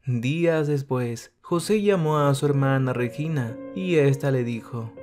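A man reading a story aloud in an even, steady narrating voice. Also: mid-range voice, measured pace. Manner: even, steady reading that speaks every character's lines in the same voice. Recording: faint guitar music behind. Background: soft guitar music.

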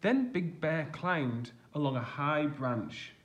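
Male teacher-storyteller, higher-than-average voice, expressive, deliberate children's picture-book narration. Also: dull audio. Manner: expressive, deliberate, stressing action words. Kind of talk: reading a children's storybook aloud.

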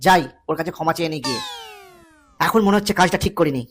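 A woman's voice: sits low, fast talker, a little hiss or hum, lightly acted. A woman voicing an earnest, formal male king in a comic cartoon. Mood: regretful. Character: earnest, trusting but stern male king.

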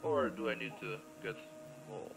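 A man's voice: medium pitch, moderate pace, vocal fry. A man giving casual let's play commentary, thinking aloud in short, hesitant questions and playfully joking with the game's characters. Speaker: gamer playing a point-and-click adventure. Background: game music.

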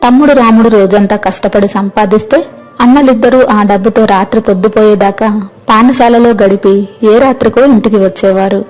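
A woman's voice: mid-range voice, steady tone, brisk, a little mellow, faint music behind, poor audio. A woman narrating a scripted moral story as its storyteller.

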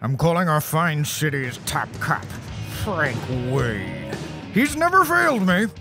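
A man's voice: a little high, very animated, slow, over music, exaggerated voice. Man playing a petulant, swooping town mayor. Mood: petulant, self-important. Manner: indignant, self-important. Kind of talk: sketch comedy radio play acting.

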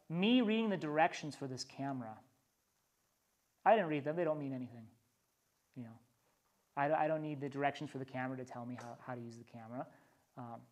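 Higher-voiced American man teaching Bible study. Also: a little mellow. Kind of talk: small-group teaching, alternating reading aloud and informal explanation. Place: church Bible study with a small group.

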